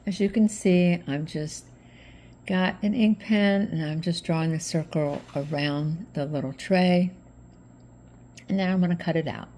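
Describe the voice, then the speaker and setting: Middle-aged female craft host, slightly hoarse, relaxed chatty tutorial delivery, warm low tone. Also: unhurried. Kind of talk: casual craft tutorial narration.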